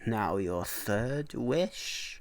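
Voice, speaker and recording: Man putting on a cackling, slow-talking old hag. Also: on the low side, expressive, no room sound. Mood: taunting, sly. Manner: cackling, taunting, drawn-out delivery. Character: cackling toothless old hag who grants wishes.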